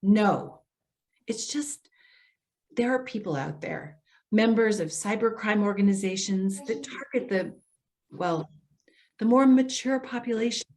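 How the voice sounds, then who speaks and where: A woman's voice: medium pitch, unhurried, long pauses, a little mellow, close to an everyday voice. A woman playing a well-meaning social worker in a stage reading, hesitant, earnest and placating. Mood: cautious concern. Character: well-meaning female elder affairs social worker, apologetic, cautious. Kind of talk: readers theater stage acting.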